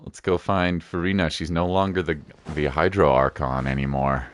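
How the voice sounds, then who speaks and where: A woman's voice: a bass voice, fairly even intonation, moderate pace. A woman giving casual live gameplay commentary as a streamer, rambling and thinking aloud. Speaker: game streamer.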